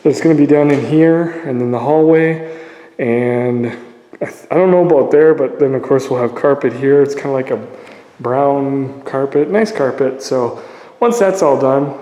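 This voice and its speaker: A man's voice: mid-range voice, moderate pace, dark tone. A young man chatting casually as he walks through his unfinished house, talking into his phone camera, rambling with unsure asides and a sore throat from paint fumes. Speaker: vlogger touring his house under construction. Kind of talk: casual walkthrough vlog. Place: empty house interior with bare walls. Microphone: phone camera held by the speaker. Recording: echoey empty rooms.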